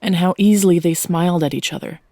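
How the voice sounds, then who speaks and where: A woman's voice: fairly low pitch, fast talker, dark tone, no room sound. A woman giving a scripted narration of a fiction story as its narrator, close to the microphone.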